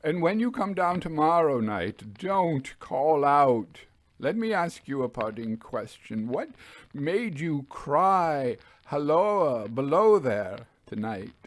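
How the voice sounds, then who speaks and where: A man playing a troubled, deferential railway signalman in a ghost story, grave and halting, in a low, hushed voice. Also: sing-song, no room sound. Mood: uneasy warning. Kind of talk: dramatised audiobook ghost story.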